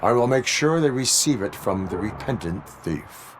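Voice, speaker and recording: A man voicing a stern, dutiful cyborg police officer in a video game, speaking in formal, clipped, deadpan phrases. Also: mid-range voice, normal speed, noisy background, lightly acted. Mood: firm. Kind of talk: video game cutscene acting.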